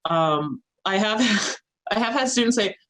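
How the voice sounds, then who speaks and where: A woman's voice: medium pitch, animated, measured pace, a bit bright, poor audio. A woman college instructor with a strong, assertive voice, chatting informally and laughing often. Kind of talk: informal talk to students.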